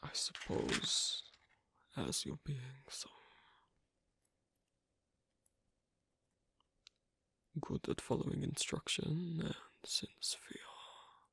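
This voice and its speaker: A performer voicing an awkward, asocial werewolf in an ASMR roleplay, speaking in a husky half-whisper with halting, terse phrases, as a non-native English speaker. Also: medium pitch, dry recording, full character voice. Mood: shyly fond. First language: not English. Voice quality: breathy, husky. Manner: halting, terse, quiet instructions with clipped grammar. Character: asocial, awkward werewolf teaching sewing on a date.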